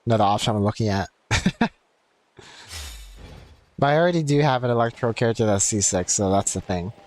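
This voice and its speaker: A man's voice: fairly low pitch, a little fast, slight background noise. A man giving casual live gaming commentary as a streamer, rambling and thinking aloud. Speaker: game streamer.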